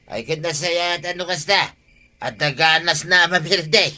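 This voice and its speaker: A belligerent, boastful village troublemaker, acted by a man for Ilocano comedy radio. His voice is piercingly bright and slightly high, with an Ilocano accent and a drunkard's stubborn swagger. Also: moderate pace. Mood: stubborn, mocking.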